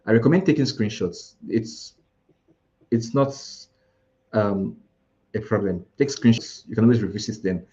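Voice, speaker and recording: A man's voice: lowish voice, moderate pace, pauses often, warm tone, highs cut off. A man with a Nigerian accent, teaching an IELTS preparation class live, explaining scoring and listing word alternatives with frequent restarts. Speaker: IELTS tutor.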